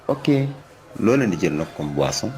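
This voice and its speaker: A man's voice: low voice, big pitch swings, moderate pace, noisy background. A man with a Senegalese accent acting a polite restaurant waiter in a comic cartoon. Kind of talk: comic animated cartoon dialogue.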